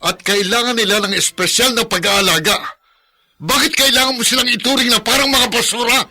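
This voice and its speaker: A man's voice: very high voice, fairly even intonation, a little fast, bright, forceful tone, heavily acted. An actor playing an earnest, burdened husband and protective father in a Filipino radio drama, with a pleading manner. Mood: indignant.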